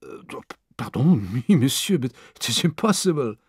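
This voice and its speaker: Eager, hesitant French manservant. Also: male voice, medium pitch, sing-song, moderate pace, slightly rough, dry recording, studio quality, full character voice. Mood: flustered. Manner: hesitant, deferential, punctuated with uhs. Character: polite, eager young French manservant of thirty.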